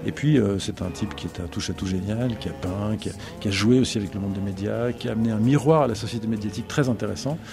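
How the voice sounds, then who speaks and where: A middle-aged man talking on the radio about songs he loves, thoughtful and pausing often to find his words. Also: fairly low pitch, gravelly, over music. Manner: thoughtful, searching for words, many pauses and restarts. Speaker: radio guest, broadcasting executive. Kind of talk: reflective radio talk about favorite songs.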